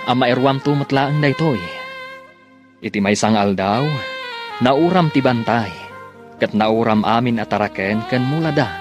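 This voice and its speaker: Man, Ilocano accent, radio drama announcer, scripted dramatic narration, mid pitch, prominent music.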